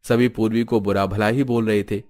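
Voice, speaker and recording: A man narrates a cartoon family story. His voice sits low, and he tells it at an even storytelling pace. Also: normal speed.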